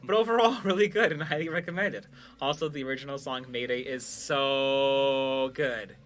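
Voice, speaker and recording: A man's voice: medium pitch, moderate pace, fairly bright tone. A man co-hosting a podcast in casual banter, speaking informally and laughing easily. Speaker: podcast host, show creator.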